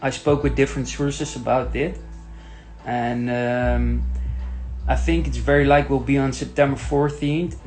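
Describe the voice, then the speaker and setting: A young man from the Netherlands with a Dutch accent, hosting a casual tech Q&A with many pauses, ums and restarts. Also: mid-range voice, gravelly, darkish tone. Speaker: tech channel host. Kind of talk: casual Q&A monologue.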